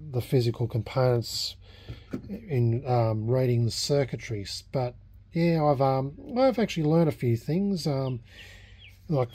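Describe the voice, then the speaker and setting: An Australian man with a soft-edged, mellow voice at a middle pitch talks through a hobby project in a casual vlog. He is halting and unscripted, thinking aloud as he goes. The sound is dry and close. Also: dry recording. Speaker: hobbyist vlogger restoring a vintage radio. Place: small indoor home workshop at a bench.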